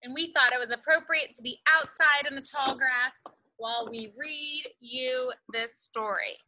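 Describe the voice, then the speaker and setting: A woman's voice: high-pitched, fairly even intonation, measured pace, pushed and bright, a little hiss or hum, rough recording. A young woman reads a picture book aloud to small children outdoors, sing-song and carefully enunciated, with emphasis on the rhyming words. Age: young adult. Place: outdoors in a leafy backyard.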